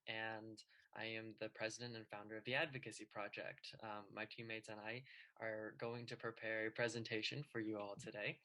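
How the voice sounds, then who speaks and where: A man's voice: on the low side, pushed and bright, low-quality recording. A young man in his twenties giving an earnest class presentation over a video call, with frequent ums and uhs. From United States. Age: 20s. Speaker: student club president presenting to a class.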